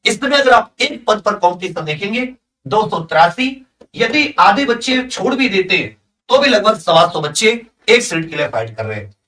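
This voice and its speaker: Male exam coach, sharp bright delivery, slightly off-mic, motivational and emphatic. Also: high-pitched, very animated, normal speed, far from the mic, poor audio. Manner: emphatic, motivational, repeats phrases for stress. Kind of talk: energetic exam-prep announcement to students.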